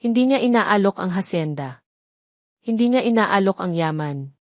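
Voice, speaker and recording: A woman's voice: medium pitch, animated, moderate pace, no echo, clean studio sound. A woman reads a scripted dramatic story as a storyteller.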